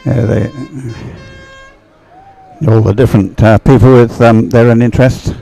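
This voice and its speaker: A man giving chatty live sports commentary in a halting, unhurried way, as if thinking aloud. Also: medium pitch, steady tone, creaky, warm tone.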